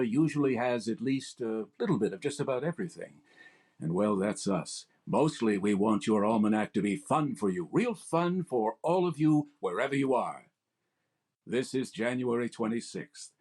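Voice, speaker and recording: An actor playing a formal, smooth-talking male radio showman hosting a program. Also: mid-range voice, lively intonation, normal speed, darkish tone, close to an everyday voice. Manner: smooth formal announcer delivery. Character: grand, resonant male radio showman host. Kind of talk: old-time radio comedy recreation.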